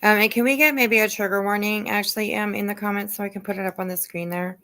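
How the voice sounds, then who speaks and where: A woman hosting a livestream in casual chat, speaking in a hesitant, halting way. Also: medium pitch, steady tone, pushed and bright. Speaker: livestream host.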